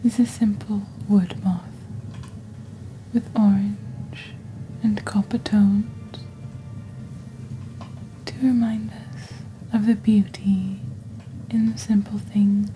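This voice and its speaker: A young woman presenting products in a soft, breathy, near-whispered ASMR delivery, deliberate and scripted, close to the microphone. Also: mid-range voice, flat delivery, soft background music, poor audio. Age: young adult. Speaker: ASMR host playing a shopping channel presenter.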